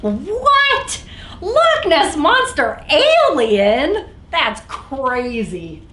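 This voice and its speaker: A woman's voice: sits high, wildly swooping pitch, drawn-out words, pushed and bright. An American woman hosting an interactive story time for young children, speaking simply and with lots of animation. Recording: roomy echo, some background noise.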